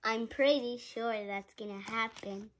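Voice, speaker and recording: A very young female reader going through a picture book aloud haltingly, sounding out words and adding excited asides. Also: a little high, slight background noise. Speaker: reader in a children's read-along video.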